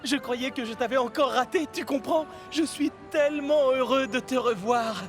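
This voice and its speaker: Eager, high-pitched young cartoon engine. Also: male voice, normal speed. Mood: relieved, happy. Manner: earnest, excitable. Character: eager, anxious young male tank engine. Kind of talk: French-language children's cartoon dub. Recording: music behind the voice.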